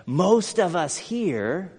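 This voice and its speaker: A man's voice: high voice, sing-song, very slow, darkish tone, breathy edge. A middle-aged American man preaching a sermon as a pastor, earnest and conversational, mixing scripture with personal stories. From United States. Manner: earnest, conversational, with storytelling asides. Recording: long hall reverb.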